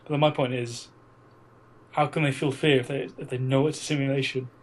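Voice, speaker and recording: A young man co-hosting a casual film podcast, chatting in a halting way and repeating words as he goes. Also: mid-range voice, flat delivery. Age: young adult. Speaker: podcast co-host. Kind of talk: casual chat about films. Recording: noisy amateur podcast recording.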